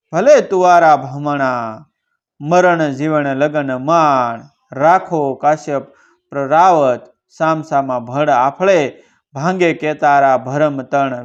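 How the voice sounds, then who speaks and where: Man playing troubled village bard, folk-tale figure, Kathiawadi Gujarati accent, courteous, rustic emphasis, raised pitch, dull recording. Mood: reverent, chanting.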